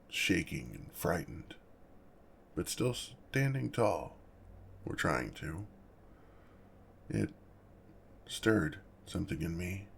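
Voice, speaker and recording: A man voicing an awkward, gentle, protective lonely dragon in a soft ASMR roleplay, with a deep gravelly voice and a hesitant, formal way of talking. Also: slight background noise, full character voice. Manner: hesitant, self-conscious, halting, formal wording. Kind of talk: ASMR roleplay, speaking softly to the listener.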